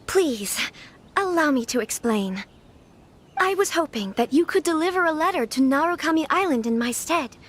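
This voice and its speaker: A woman's voice: high voice, expressive, moderate pace. A woman playing a young noblewoman in a video game; she speaks formally and courteously, with small nervous stammers now and then. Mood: earnest.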